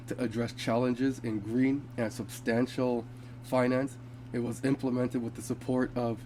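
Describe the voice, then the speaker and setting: A man's voice: medium pitch, mellow, a little hiss or hum. A man who sounds congested from being sick, hosting a casual crypto video and reading from web pages with fillers and restarts. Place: home.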